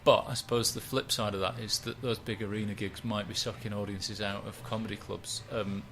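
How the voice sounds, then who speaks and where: A man, a stand-up comedian chatting in a relaxed, rambling, self-mocking way. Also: lowish voice, a little fast, noisy background. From West Yorkshire, England. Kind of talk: relaxed interview chat.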